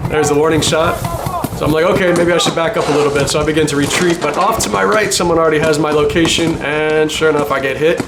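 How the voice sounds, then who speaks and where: A man's voice: a little high, steady tone, quick pace, very noisy. A man giving casual voice-over commentary on his own paintball game footage, chatty and self-deprecating, with small chuckles.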